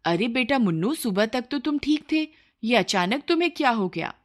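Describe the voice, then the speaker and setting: A woman's voice: on the high side, animated, normal speed, voice pushed up, natural voice. A woman voicing a poor, honest milkman husband in a cartoon story, earnest and given to reproachful questions. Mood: concerned.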